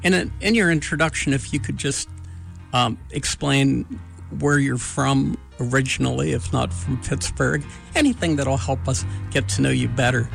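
Male host, gravelly, slow and searching delivery, light music bed. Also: mid-range voice. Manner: measured, halting with many "uh" pauses. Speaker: radio show host. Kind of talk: slow, thoughtful interview hosting.

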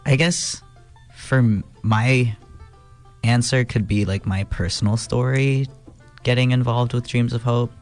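A man's voice: medium pitch, steady tone, a little slow, soft background music. A man in his twenties answering interview questions in a casual, hesitant way. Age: 20s. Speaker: guest.

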